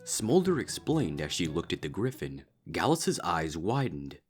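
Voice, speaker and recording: A man's voice: sits low, big pitch swings, measured pace, husky, faint music behind. A man reading a scripted fan fiction story aloud as its narrator.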